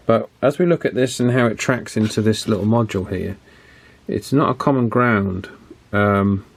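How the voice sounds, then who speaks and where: A man with a lowish voice talks through a radio repair at his bench. He explains each step in a relaxed way, thinking aloud with plenty of ums and restarts. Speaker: electronics repair YouTuber. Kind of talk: casual repair walkthrough narration.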